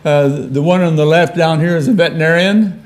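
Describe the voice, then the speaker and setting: Retired prof guy, older, kinda high voice, lots of uh and restarts. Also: a little fast. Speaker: professor emeritus. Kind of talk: seminar talk.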